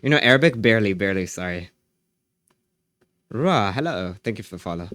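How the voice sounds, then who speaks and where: Brief: male streamer, low voice, dry desk mic, easygoing chat replies. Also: lively intonation, brisk, a bit bright, dry recording. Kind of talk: casual live-stream chat.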